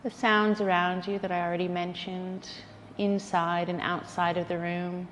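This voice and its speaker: A woman leading a guided yoga nidra relaxation, speaking in a soothing, gentle way with unhurried pauses. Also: on the low side, little pitch movement. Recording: long reverberant hall, faint background noise.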